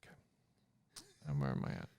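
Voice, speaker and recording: A man bantering casually and reading a story aloud as a podcast guest, teasing in a deadpan, ironic way. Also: a little fast, in a whisper. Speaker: guest reader on a comedy podcast.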